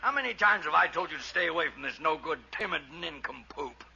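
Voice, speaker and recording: A grumpy, pompous father blusters and scolds in an old radio comedy. His voice is very sharp and gravelly, pitched high. The recording is muffled with faint hiss. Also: animated, fairly quick, exaggerated voice. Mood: scolding. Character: grumpy, disapproving father, pompous supermarket owner. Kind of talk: 1940s radio comedy acting.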